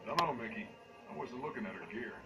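Man playing a cocky soldier under loud music. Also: mid-range voice, expressive, fast talker, distant mic, natural voice. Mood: cocky, teasing. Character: cocky, wisecracking male soldier, flirtatious. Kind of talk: video game cutscene acting.

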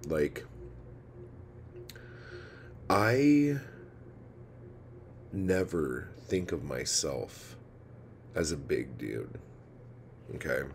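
Very deep gravelly-voiced man, venting slowly. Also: lively intonation. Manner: rambling, frustrated, swears freely. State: feeling low and tired. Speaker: poet vlogger. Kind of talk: casual confessional vlog. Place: indoor room with ceiling fan. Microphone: phone camera held low, close. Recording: noisy phone recording.

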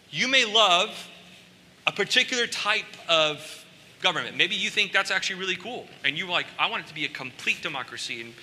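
A middle-aged man, born in Costa Rica, preaching a conversational sermon on a large church stage with animated asides. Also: on the high side, slight background noise. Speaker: pastor. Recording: long hall reverb.

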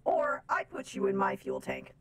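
A woman's voice: extremely low pitch, wildly swooping pitch, normal speed, voice pushed down, exaggerated voice. A woman voicing a stilted, literal-minded female robot from space in improvised game roleplay, with formal robotic phrasing. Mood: stilted, haughty.

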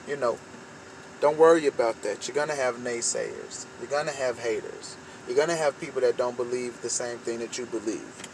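A man's voice: medium pitch, moderate pace. A young American man giving an informal motivational monologue to camera, with emphatic, repetitive phrasing. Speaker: vlogger giving motivational talk. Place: inside a parked car at night. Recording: clearly noisy vehicle ambience.

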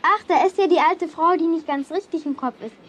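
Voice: very high voice, brisk. A performer playing a feisty, nosy schoolgirl in a children's radio play, pert and pushy. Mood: scornful.

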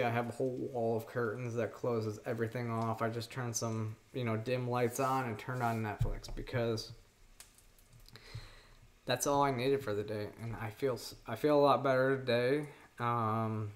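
A woman's voice: extremely low pitch, slight background noise. A woman in her thirties hosting a cooking vlog, talking to camera in an earnest, rambling way from her home kitchen. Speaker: cooking show host. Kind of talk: casual to-camera vlog talk. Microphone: camera on the counter at mid distance.

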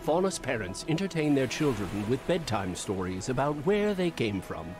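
A man playing a thoughtful storyteller, narrating an animated fable expressively and deliberately. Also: medium pitch, music in the background, close to an everyday voice. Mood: storytelling. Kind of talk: scripted fairy tale narration.

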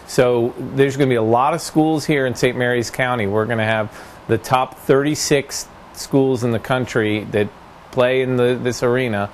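A man's voice: medium pitch, a little hiss or hum. A middle-aged man, a college sailing coach, speaking eagerly outdoors by a marina, with many fillers. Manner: enthusiastic, filler-heavy speech. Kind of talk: outdoor interview.

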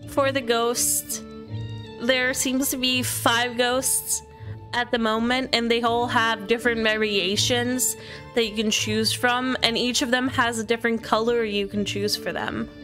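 A woman's voice: a little high, little pitch movement, a little slow, pushed and bright, soft background music. A woman giving a casual walkthrough commentary of a video game. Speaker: gaming video presenter.

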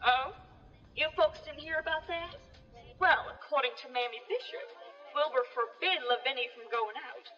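An uneasy country gossip acted by a woman speaks in a high, forceful voice, spinning ominous folksy tales for a radio play. The sound is muffled and rings in a long hall-like reverb. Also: normal speed. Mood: hushed, grave. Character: gossipy, uneasy rural New England villager, a farm neighbor. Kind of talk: radio drama acting, storytelling. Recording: dull echoing hall with music behind.

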